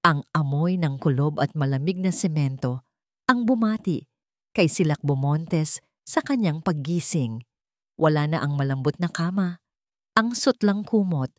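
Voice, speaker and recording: A woman storyteller with a slightly low voice reads scripted fiction. Her narration is dramatic, and the recording is very dry. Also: a little mellow, no echo.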